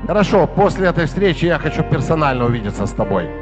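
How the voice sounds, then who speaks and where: A man's voice: on the high side, fast talker, music in the background. A man working as an interpreter, rendering a seminar speaker's words phrase by phrase. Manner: steady, follows the speaker phrase by phrase. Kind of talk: consecutive interpretation on stage.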